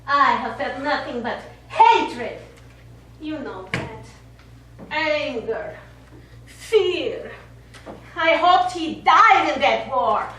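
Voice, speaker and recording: A woman's voice: high voice, expressive, very slow, pauses often, sharp tone, exaggerated voice. An actress playing a proud, wounded middle-aged Italian woman in a stage play, accusing and scornful in terse phrases. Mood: bitter hatred. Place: small theatre stage, recorded from afar. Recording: echoey stage, distant mic, faint noise.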